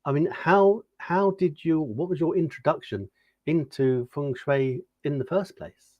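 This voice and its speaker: A man's voice: fairly high pitch, a little slow, no room sound, muffled recording. A middle-aged man hosting an interview in casual chat, hesitating and repeating words, laughing easily. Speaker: interview host.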